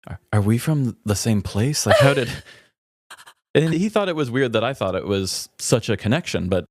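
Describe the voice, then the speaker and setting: A man's voice: medium pitch, lively intonation, normal speed, dry recording. A man co-hosting a podcast, telling stories and reading listener messages in an informal, chatty way. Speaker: podcast co-host.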